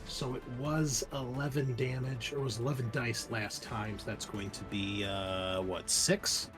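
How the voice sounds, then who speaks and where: A man's voice: mid-range voice, steady tone, measured pace, over music. A man working as game master, narrating a horror roleplaying game, moving between dramatic description and casual rules talk.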